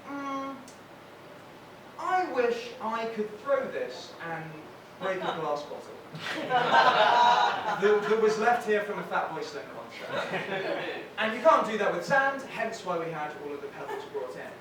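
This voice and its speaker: A man from England with a softened Brighton accent, doing a wry stand-up set in a comedy venue. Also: high voice, sing-song, measured pace, a crowd in the background. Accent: Brighton English, softened. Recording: echoey, distant, noisy room.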